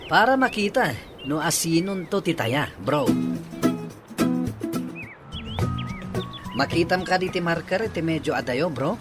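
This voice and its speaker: A man's voice: fairly high pitch, lively intonation, normal speed, music in the background, lightly acted. A man acting a cocky young friend in an Ilocano radio drama, speaking with an Ilocano accent. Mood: playful, competitive. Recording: noisy radio drama recording, ambient sound effects.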